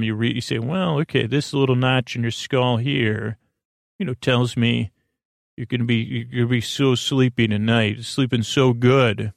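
A man hosting a bedtime podcast, talking straight to the listener in a meandering, halting way that often trails off and doubles back. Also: mid-range voice, no echo, studio quality. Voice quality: gravelly, creaky. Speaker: podcast host. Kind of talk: rambling bedtime podcast monologue, addressed to the listener.

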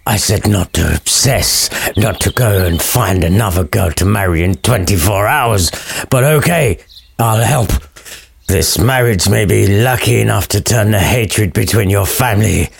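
A man voicing a blunt, weary old friar in a storybook retelling, scolding slowly and crudely. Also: lowish voice, vocal fry, breathy edge, heavily acted.

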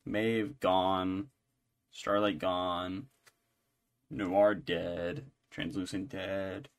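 A man talking casually as a podcast co-host, in a halting, rambling way. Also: sits low, little pitch movement. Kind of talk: casual chat.

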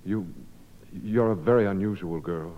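An actor playing a bashful, lovestruck young student in a 1950s radio comedy, stammering and hesitant. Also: male voice, low voice, normal speed, natural voice. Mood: shyly smitten. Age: young adult. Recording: noisy, muffled 1950 radio broadcast.

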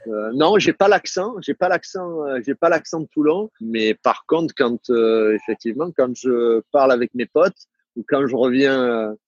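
A middle-aged man with a southern French accent talks in a halting, repetitive way, full of fillers. His voice is a little husky. The recording is dry and slightly dull. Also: medium pitch, no room sound. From southern France. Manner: halting, many fillers and repeated words. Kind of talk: casual interview talk. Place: living in the United States.

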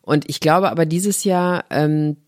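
A woman's voice: sits low, a little slow, a little mellow, no room sound, studio quality. A middle-aged woman from Germany, a guest on a podcast talking about her health platform, explaining things earnestly and at length. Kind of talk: podcast conversation.

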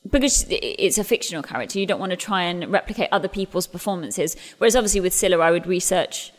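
A woman's voice: lowish voice, fast talker, in a large hall. A woman in her thirties, an English stage actress, talking chattily about her career in an interview, often restarting and laughing at herself. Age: 30s. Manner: chatty, self-deprecating, frequent restarts and laughs. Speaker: musical theatre actress. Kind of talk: interview conversation.

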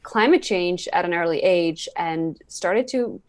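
A woman's voice: on the low side, a little slow. A woman from Sweden talking casually and anecdotally as a guest on a podcast. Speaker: podcast guest, climate activist and speaker. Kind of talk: casual, anecdotal interview talk.

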